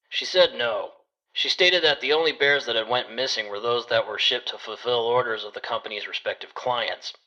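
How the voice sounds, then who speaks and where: A man playing a private detective who narrates his case in a measured, matter-of-fact way. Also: mid-range voice, sharp tone, lo-fi sound. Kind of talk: first-person detective story narration.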